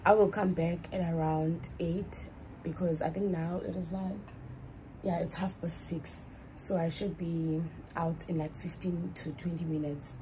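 Young girl vlogging in her dorm, lowish voice, rambles and corrects herself, room noise behind. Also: steady tone, measured pace, warm tone, rough recording. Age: young adult. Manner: chatty, rambling, self-correcting. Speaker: student vlogger. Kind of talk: casual vlog chat to camera. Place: small student dorm room, indoors. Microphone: phone camera at arm's length.

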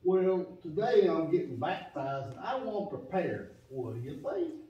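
A man's voice: a little high, sing-song, normal speed. A man voicing a naive, eager young surfer-dude pig with a drawl in a children's church puppet show. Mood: goofy, eager. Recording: distant, echoey, dull room recording.

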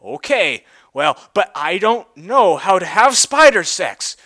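A man plays a naive young female spider in a storybook tale. She sounds bright and bewildered, hesitating as her pitch swings about in a lively way. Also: slow, exaggerated voice. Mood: reluctant.

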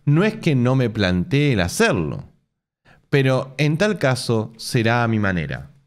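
Argentine man, 30s, streamer; sarcastic, quick to laugh, very lively intonation. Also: mid-range voice. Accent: Argentine Spanish. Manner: laughs often, sarcastic jokey asides. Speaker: streamer reading a forum post aloud. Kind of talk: casual live-stream reading with mocking asides. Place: home room with bookshelves.

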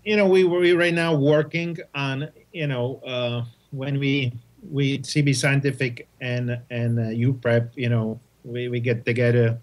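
A man from Czechoslovakia with a Czech accent, answering interview questions in halting English full of restarts. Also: medium pitch, flat delivery. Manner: halting, many restarts and repeated words. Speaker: guest, testing company representative.

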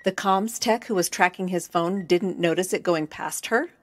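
Woman acting female military commander, audio drama, dry sound, stern, measured, calculating, condescending. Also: lowish voice, dry recording, natural voice. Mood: probing, contemptuous.

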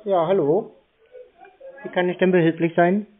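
A man answers a phone call with dry, clipped, mocking deadpan. His voice comes through thin and tinny, as over a telephone. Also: high voice, moderate pace. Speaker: call recipient, prank-answering scam calls. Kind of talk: phone conversation. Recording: tinny, narrow phone line.

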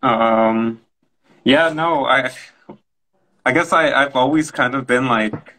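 A man's voice: medium pitch, takes it slowly, sharp tone, rough recording. A man speaking on a video call panel, hesitant and reflective, often searching for his words. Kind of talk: casual panel discussion. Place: room at home, indoors.

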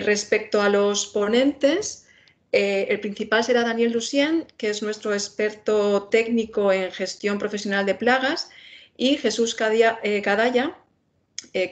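A woman with a Spain Spanish accent moderating an online webinar in a friendly manner, with many filler sounds. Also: medium pitch. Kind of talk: webinar introduction.

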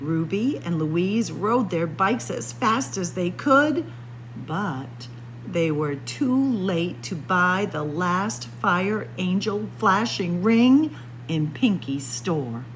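A woman reading a picture book aloud to children as a storyteller, expressive and deliberate. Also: on the low side, a little hiss or hum, low-quality recording. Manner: expressive, deliberate, addressing young listeners as friends. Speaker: librarian storyteller. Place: indoor library room with bookshelves.

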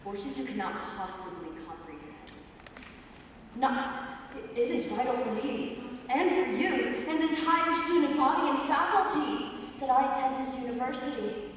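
A woman's voice: expressive, moderate pace. Young woman playing a menacing young man applicant. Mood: ominous. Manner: ominous, pushy, low menacing asides. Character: intense, scheming male applicant named Ben. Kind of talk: student stage play. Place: theater stage, audience. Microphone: far camera in the audience. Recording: echoey hall from far off, faint noise.

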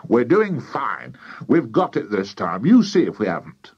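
A man voicing an excitable, bossy farmer father, loud and eager, for a children's audiobook. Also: fairly low pitch, unhurried, breathy edge, dull audio, heavily acted. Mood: bossy, urgent.

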